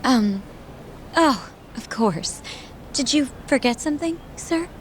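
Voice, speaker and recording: A woman's voice: high-pitched, animated, slow, a little hiss or hum, low-quality recording. A woman acting in a game dub as a polite, helpful receptionist with a slightly hesitant, courteous manner.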